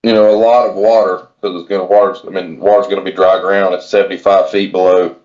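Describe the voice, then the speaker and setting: A man's voice: low voice, little pitch movement, fast talker, a little mellow. A man from West Virginia chatting casually as a podcast guest, plainspoken and folksy, over slightly lo-fi call audio. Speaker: podcast guest, kayak angler. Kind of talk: casual chat, informal advice.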